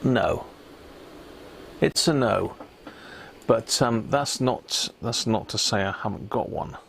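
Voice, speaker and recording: Matey, gravelly-voiced man chatting on a livestream. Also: fairly low pitch, slow pace, a bit bright, a little hiss or hum. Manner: matey, chatty, muttering to himself as he works. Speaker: livestream host and electronics hobbyist. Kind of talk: casual live chat while working at a bench.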